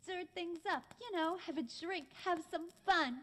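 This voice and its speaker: Very high-pitched brassy, foul-mouthed broad. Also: female voice, moderate pace, a bit bright. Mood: sweetly brassy. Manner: slangy, brassy tough-broad talk. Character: brash, foul-mouthed woman sweetly bossing her monstrous lover. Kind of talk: old-time radio comedy acting. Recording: noisy recording.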